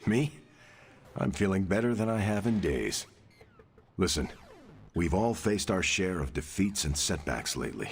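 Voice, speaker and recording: An actor playing a sincere, steadfast soldier hero in a video game, speaking in an encouraging way. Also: male voice, low voice, expressive, a little slow, long pauses, faint music behind. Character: steadfast soldier hero, leader type, warm and proud. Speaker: game character. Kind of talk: video game cutscene acting.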